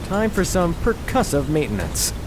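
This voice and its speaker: An actor playing an earnest, flustered young army radio technician in an audio drama, eager and anxious. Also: male voice, a little high, big pitch swings, moderate pace. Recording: loud effects noise behind.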